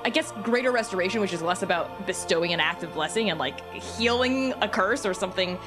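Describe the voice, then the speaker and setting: A woman talking casually and enthusiastically as a podcast guest and game master, informal and animated. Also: mid-range voice, fairly quick, over music.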